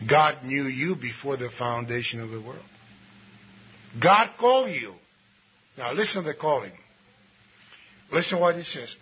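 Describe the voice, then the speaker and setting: Emphatic preacher man, lo-fi sermon recording. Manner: emphatic, swings between low asides and raised exclamations; reads scripture slowly and deliberately. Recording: dull lo-fi recording with faint noise.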